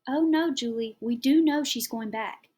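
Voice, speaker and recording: A woman reading the part of a steady, tired father in an audiobook, calm and soothing. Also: high voice, normal speed, breathy edge, pitched above the performer's own voice. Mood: worried. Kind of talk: audiobook character voice.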